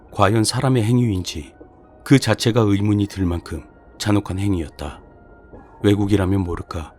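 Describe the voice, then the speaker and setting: A man narrating a scripted horror story in the first person, with a measured, brooding delivery. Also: low voice, a little mellow. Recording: music behind the voice.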